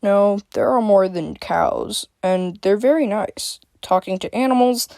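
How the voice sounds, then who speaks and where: Storybook role: wry, tired male fox demon, played by a woman, patient and measured, close dry recording. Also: medium pitch, gravelly, dark tone, no room sound.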